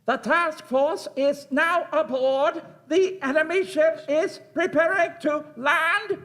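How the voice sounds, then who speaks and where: Merciless alien war machine, high, loud barking delivery, slow clipped announcements for radio drama. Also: male voice, exaggerated voice. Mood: commanding, aggressive. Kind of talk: live staged radio play.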